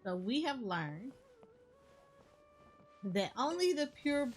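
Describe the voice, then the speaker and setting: A woman's voice: sits high, very animated, slow. A woman giving casual game reaction commentary, thinking aloud and often repeating herself. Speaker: gaming streamer. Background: game music.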